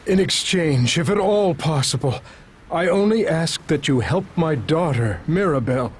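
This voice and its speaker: A male actor plays a gentle-mannered doctor and anxious father in a video game. His voice sits a little high for a man, and he speaks politely and earnestly, with some hesitation. A faint noise lies behind him. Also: lively intonation, moderate pace, natural voice. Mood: resolute, earnest. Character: earnest town doctor and worried father, middle-aged man. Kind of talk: video game cutscene acting.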